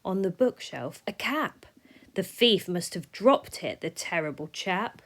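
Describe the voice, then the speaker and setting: A woman reading a rhyming children's story aloud in an expressive, measured way, sometimes dropping soft. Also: fairly low pitch. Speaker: storybook reader.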